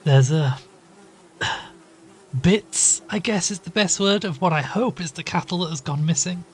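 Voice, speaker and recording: A man's voice: high voice, a little slow, a little hiss or hum. A man playing an uneasy, wryly weary investigator in an audio drama, speaking hesitantly. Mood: queasy. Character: uneasy male investigator narrating his case, reluctant and wry. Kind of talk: audio drama dialogue and first-person narration.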